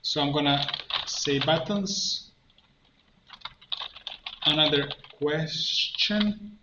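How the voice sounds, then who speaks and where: Slow, halting man teaching software, roomy sound. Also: fairly high pitch. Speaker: tutorial instructor, coach. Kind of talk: step-by-step software tutorial narration. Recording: muffled, roomy, faint noise, keyboard clicks. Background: computer keyboard typing and clicking.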